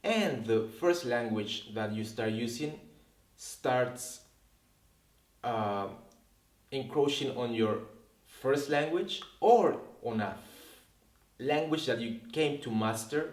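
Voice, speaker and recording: A man's voice: medium pitch, expressive, slow pace. A man whose first language is Spanish, a language teacher hosting a reflective talk to camera, earnest and often repeating words as he searches for them. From Latin America. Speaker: language teacher and video host. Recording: roomy, distant sound.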